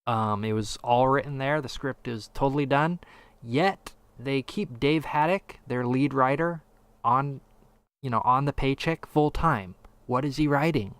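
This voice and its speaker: A man's voice: medium pitch, measured pace, fairly bright tone, smooth, no room sound. A man co-hosting a podcast in casual chat, who talks in a conversational, mildly skeptical way and reads out live chat. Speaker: podcast co-host.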